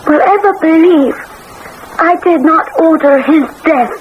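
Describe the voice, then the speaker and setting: An actress playing a proud, anguished young Russian empress in earnest, dramatic old radio drama style. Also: female voice, very high voice, a little slow. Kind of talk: 1970s-80s radio drama acting. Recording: muffled, noisy old radio broadcast.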